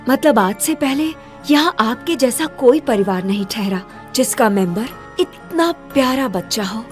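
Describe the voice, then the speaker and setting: A sinister ghostly motel owner. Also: female voice, fairly high pitch, expressive, a little slow. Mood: sinister sweet. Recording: faint music behind.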